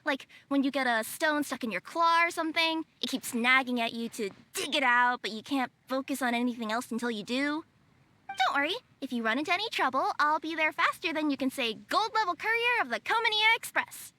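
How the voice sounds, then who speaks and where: A woman's voice: sits high, expressive, brisk, pushed and bright. A woman voicing a confident, playful young female yokai courier in a video game, chatty and curious. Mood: breezy, confident. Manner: chatty, breezy.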